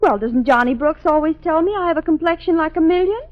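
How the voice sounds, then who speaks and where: A woman's voice: very high voice, fast. A woman playing a pert, defensive, girlish young lady in a 1940s radio commercial skit. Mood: defensive, pouty. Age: young adult. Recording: dull vintage 1940s radio sound.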